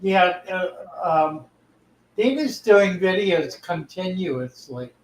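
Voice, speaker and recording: A man's voice: sits high, lively intonation, takes it slowly, reverberant, rough recording. An older man hosting a livestream about fish keeping, talking in a folksy, easygoing way and reading viewer comments aloud. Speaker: livestream host, fish keeper. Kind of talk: casual livestream chat.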